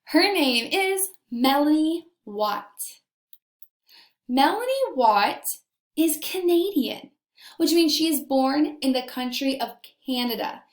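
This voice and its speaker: A woman teacher reads aloud to young pupils in a fairly high voice. She takes her time, very slowly shaping each phrase. Her explanations are simple and spoken straight to the children. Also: expressive. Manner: deliberate enunciation, explains simply, addresses children directly. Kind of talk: children's read-aloud lesson.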